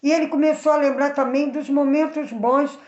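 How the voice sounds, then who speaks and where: A woman's voice: sits high, steady tone, moderate pace, a little mellow. A Brazilian woman telling moral Bible stories to children in an earnest, didactic way, speaking directly to the listener. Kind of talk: moral storytelling with preaching for children. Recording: echoey room, slightly dull sound.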